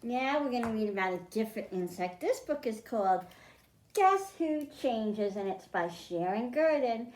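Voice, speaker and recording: Female storytime host, higher-pitched, teaching small children in simple terms; echoing room. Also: animated, normal speed, a little mellow. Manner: simple explanations addressed to children, with questions to them. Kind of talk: children's storytime read-aloud and science lesson. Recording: roomy, echoing indoor sound.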